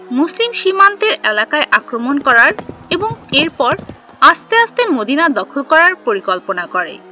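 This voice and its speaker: A woman narrating a scripted children's history story in a simple, clearly phrased storybook manner. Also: sits high, bright, forceful tone.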